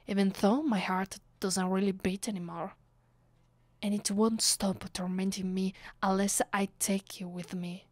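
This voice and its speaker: A woman with an Italian accent playing a bitter, possessive, centuries-old vampire aristocrat in an intimate ASMR roleplay, speaking softly and dramatically, often dropping into a breathy whisper. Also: mid-range voice, no room sound, lightly acted. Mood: haughty, possessive. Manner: slow, dramatic, imperious.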